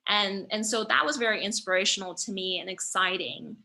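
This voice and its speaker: Bright-voiced woman in her thirties. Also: medium pitch, a little fast, creaky. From China. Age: 30s. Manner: thoughtful, with frequent fillers and restarts. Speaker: guest, startup founder. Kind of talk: conversational interview answers.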